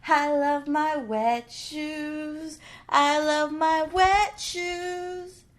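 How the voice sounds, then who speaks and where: A woman's voice: high-pitched, steady tone, very slow, faint music behind. A woman voicing a cheerful, easygoing male cat who sings simple repetitive lines in a children's storybook. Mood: happy singing. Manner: singing, repetitive and unbothered.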